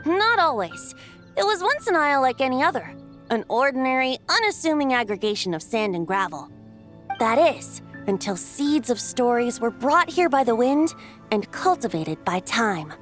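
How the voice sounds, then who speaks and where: A woman's voice: high voice, big pitch swings, normal speed, a bit bright. A woman voicing a playful, poetic, carefree young male wind bard in a video game, with a lyrical, airy, teasing manner. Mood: lyrical, storytelling. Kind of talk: scripted game character dialogue. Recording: studio voice over background music.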